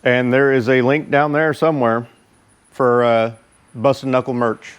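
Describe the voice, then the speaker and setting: Slow-talking man, casual motorcycle vlogger. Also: mid-range voice, little pitch movement, smooth. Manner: informal, halting, unhurried delivery. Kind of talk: casual product review to camera.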